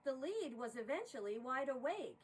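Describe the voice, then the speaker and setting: A woman with a high voice reads rhyming verse as a dramatic storyteller. She takes her time and swings her pitch widely. The mic sounds a little distant, with faint noise. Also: normal speed. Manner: dramatic, expressive. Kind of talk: theatrical verse narration.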